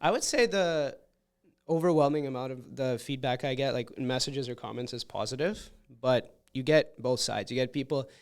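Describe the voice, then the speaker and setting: A man's voice: medium pitch, normal speed. A man in his twenties, a comedian talking in a casual podcast interview, rambling and blunt with frequent restarts. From Canada. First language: Russian. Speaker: comedian guest.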